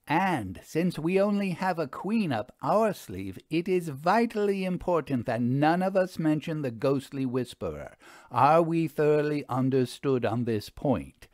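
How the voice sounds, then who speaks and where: A sharp, commanding woman investigator as played by a male narrator speaks in a dry, exacting way. Her audiobook dialogue is formal and pointed, heard in a close, dry recording. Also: a little high, big pitch swings, measured pace, no room sound, pitched above the performer's own voice. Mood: pointed, insistent.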